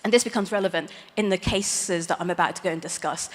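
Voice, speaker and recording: A woman's voice: lowish voice, fast. A woman lawyer giving a conference talk, explaining legal ideas plainly with light, self-aware humor. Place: large conference hall stage. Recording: long reverb of a big hall.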